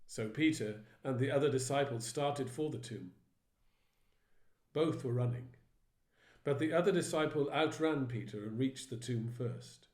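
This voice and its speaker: A man, a vicar, reading a Bible story aloud in a steady, measured way. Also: medium pitch. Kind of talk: dramatised Bible reading narration. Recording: roomy echo.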